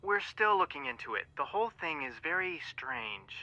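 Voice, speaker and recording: A man's voice: high-pitched, sing-song, normal speed, gravelly, bright, forceful tone, lo-fi sound, full character voice. An actor playing a dry, level-headed male detective, the straight man of a pair in a video game, heard through a phone filter. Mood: dry, serious.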